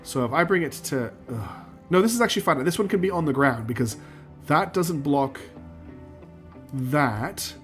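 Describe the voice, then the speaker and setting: A man streaming a factory-building game, losing his voice and clearing his throat, talking himself through each step and answering chat. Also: fairly high pitch, expressive, moderate pace, faint music behind. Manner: talks himself through building steps, counts out loud, asks himself questions; answers chat messages as he plays. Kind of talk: live gameplay commentary, thinking aloud.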